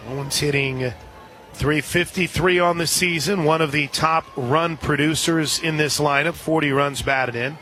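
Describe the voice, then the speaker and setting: A man calling softball play-by-play on the radio, dropping into casual banter between pitches. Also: fairly even intonation, a little slow. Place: outdoor softball stadium broadcast position. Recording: outdoor stadium crowd and ambient noise. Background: crowd noise.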